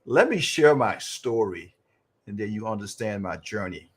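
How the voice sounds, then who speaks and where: Husky low-voiced male host. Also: very animated, normal speed. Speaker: talk show host. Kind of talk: motivational interview chat.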